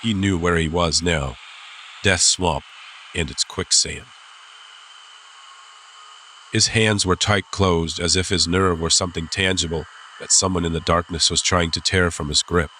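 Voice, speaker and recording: A man narrating a dramatized audiobook with suspenseful, deliberate phrasing. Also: low-pitched, fairly even intonation, bright, forceful tone. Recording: clear background ambience noise.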